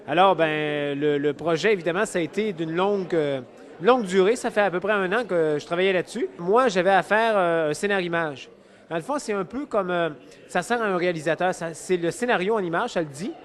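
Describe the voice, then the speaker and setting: A man with a clearly high voice and a Quebec French accent speaks in an informal interview. He comes across as a cartoonist explaining his work. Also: a little fast.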